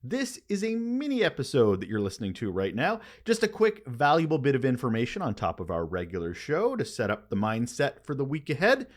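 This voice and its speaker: A man hosting a podcast, delivering a conversational scripted monologue with emphatic, expressive phrasing and dramatic pauses. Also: medium pitch. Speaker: podcast host.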